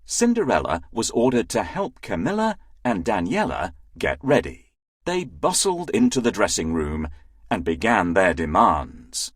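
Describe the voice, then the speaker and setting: A storyteller giving an expressive, scripted narration of a children's fairy tale. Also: sits low, a little slow, husky, dry recording, studio quality.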